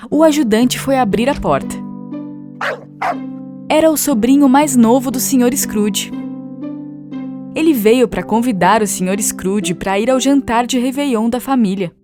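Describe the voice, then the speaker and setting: A woman narrates a children's story in a moderately high voice. Her scripted telling runs over clearly audible music. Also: measured pace, long pauses.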